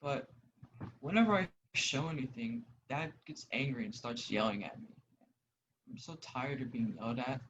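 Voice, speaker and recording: A man's voice: medium pitch, normal speed, fairly bright tone, natural voice. Young man acting hesitant grieving gamer, noisy audio. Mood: troubled, weary. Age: young adult. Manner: hesitant, earnest. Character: Grayson, grieving, lonely young male gamer. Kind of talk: student one-act play acting. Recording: dull audio with clear noise.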